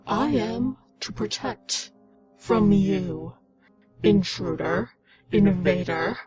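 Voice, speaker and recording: A woman's voice: medium pitch, a little mellow, soft background music. A woman playing a menacing, accusing angel with a scratchy, pained voice in an audio drama. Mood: menacing fury. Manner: slow, accusing delivery.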